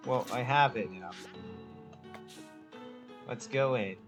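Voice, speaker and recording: A man's voice: mid-range voice, slow pace. A man giving casual playthrough commentary on a game, laid-back and thinking aloud. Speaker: gamer, video host. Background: game music.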